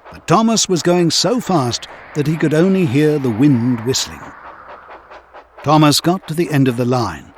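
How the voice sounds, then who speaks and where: A man narrating a children's storybook in an expressive, measured way. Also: a little high, slight background noise. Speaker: audiobook narrator.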